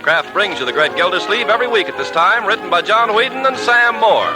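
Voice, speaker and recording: A man's voice: high voice, lively intonation, a little fast, over loud music, poor audio. A man working as a radio announcer, selling in a polished, emphatic 1940s advertising style. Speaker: radio announcer and sponsor pitchman. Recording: vintage 1940s radio recording.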